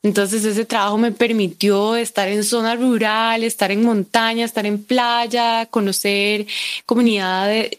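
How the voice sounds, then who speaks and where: A young woman with a Costa Rican Spanish accent, telling her story as an interview guest. She talks with eager enthusiasm, dropping in fillers and tag questions. Her voice sits at a middle pitch. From Costa Rica. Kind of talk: conversational interview.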